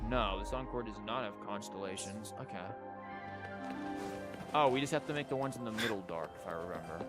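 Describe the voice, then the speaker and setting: A man's voice: fairly low pitch, a little fast. A man doing casual, rambling gameplay commentary as a streamer, with joking asides. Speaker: game streamer. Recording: loud music behind the voice. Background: game music.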